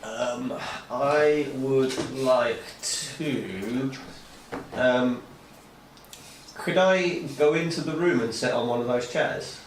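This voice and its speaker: Male tabletop player, slow casual delivery, roomy sound with faint noise. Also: mid-range voice. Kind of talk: casual game chat. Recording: echoey, distant, faint noise.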